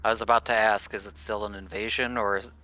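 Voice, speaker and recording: A man's voice: on the low side, little pitch movement, fast, sharp tone, rough recording. A man playing in a tabletop roleplaying game, chatting casually, with faint noise on the recording.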